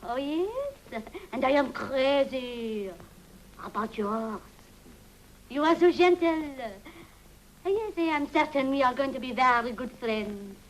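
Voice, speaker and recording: Flamboyant showgirl; high, sing-song, theatrical. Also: takes it slowly, rough recording. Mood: flirtatious. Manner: excitable, dramatic, laughing, mixes French phrases.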